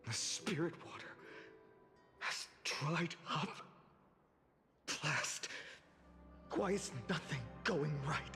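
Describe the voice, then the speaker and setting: A man playing a calm, solemn, self-sacrificing young warrior leader in a video game, speaking in a measured, soft way. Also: a little high, big-room sound. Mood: frustrated. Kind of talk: scripted dramatic video game acting.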